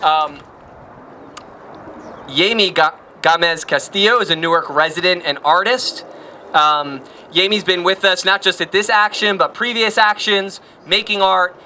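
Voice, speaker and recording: Man emcee at an outdoor rally, higher voice, halting delivery, loud traffic and street noise behind. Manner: hesitant, lots of ums, shuffling papers.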